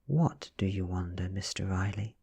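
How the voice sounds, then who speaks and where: A British man voicing an ancient, beautiful female vampire in a soft ASMR storybook reading, measured, breathy and quietly threatening. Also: low-pitched, exaggerated voice. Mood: probing.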